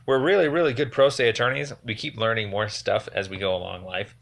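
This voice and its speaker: A man on a phone call, giving a rambling, earnest and halting account of his complaint as a caller. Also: lowish voice, steady tone, creaky, a bit bright. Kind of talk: phone conversation, explanatory account.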